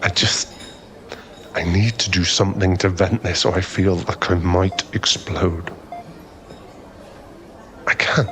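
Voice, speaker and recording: A man playing a flustered, smitten young man in an ASMR boyfriend roleplay, halting and stammering as he rambles nervously to a crush. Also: deep voice, fairly even intonation, a bit bright. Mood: flustered, giddy.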